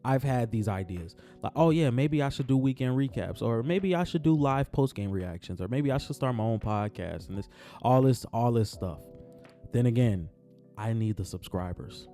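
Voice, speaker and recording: A man talking casually about basketball in his own vlog, his voice raspy from just waking up, laid-back and repeating himself as he speaks from the heart. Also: mid-range voice, lively intonation, moderate pace, dark tone, soft background music. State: raspy morning voice. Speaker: sports vlogger. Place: on his way to work.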